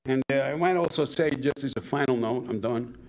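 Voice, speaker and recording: Gravelly man giving pointed public comment. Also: mid-range voice, slight background noise. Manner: pointed, critical, measured. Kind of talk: public comment at a board meeting.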